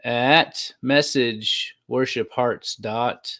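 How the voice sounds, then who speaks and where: A man co-hosting a podcast in a casual, conversational way. His voice sits at a middle pitch, and his manner is informal and folksy. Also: steady tone, drawn-out words, fairly bright tone. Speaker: podcast co-host. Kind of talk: casual chat.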